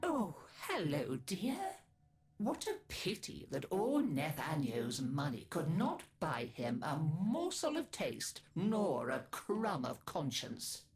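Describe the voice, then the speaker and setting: A woman's voice: low voice, sing-song, slow, a little mellow, heavily acted. A woman playing a genteel, witty elderly matriarch in a video game, refined and theatrical, archly confiding. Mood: disdainful, arch. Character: Granny Blue, genteel elderly matriarch, witty, haughty yet fond.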